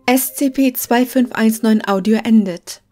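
Female narrator, highish pitch, formal report delivery, scripted fiction, quiet music underneath. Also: a little slow.

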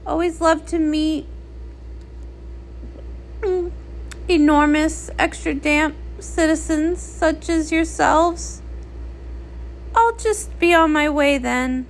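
A woman's voice: sits high, slow, pauses often, slight background noise, voice pushed up, low-quality recording. A woman voicing a haughty young mermaid princess with a prim, dramatic delivery in a comic read-along. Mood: prim, nervous.

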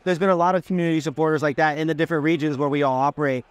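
Chatty high-voiced man interviewing. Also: steady tone, racing, clear, resonant tone. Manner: chatty, enthusiastic, loose restarts. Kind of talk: casual interview. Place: booth at an expo hall.

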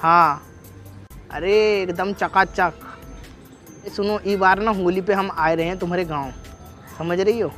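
A young man with a noticeably high voice and a Bhojpuri accent talks in a cheeky, rustic, teasing way, as a prankster chatting on the phone. He is recorded outdoors, with music underneath. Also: fairly even intonation, a little slow, pauses often, fairly bright tone. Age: young adult. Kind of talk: staged loud phone chat for a street prank. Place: outdoors in a leafy park.

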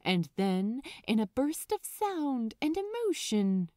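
Slow, expressive woman reading a story. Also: on the high side, no room sound, clean studio sound. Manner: dramatic, deliberate, with emphatic pauses. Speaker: audiobook narrator of fan fiction. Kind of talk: expressive scripted story narration.

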